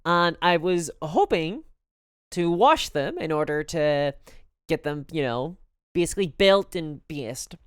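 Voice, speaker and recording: A woman streamer with a Belfast accent talks casually and candidly to her live audience. Her voice sits low for a woman but swings animatedly up and down. It is smooth and clean, conversational throughout. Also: animated, slow, dry recording, studio quality. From Northern Ireland.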